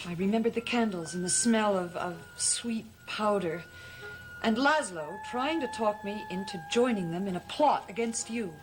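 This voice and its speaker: An actress playing a haunted, earnest heroine struggling with her memory in a gothic television soap, with intense, halting delivery. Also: medium pitch, expressive, natural voice, rough recording. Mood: haunted, remembering.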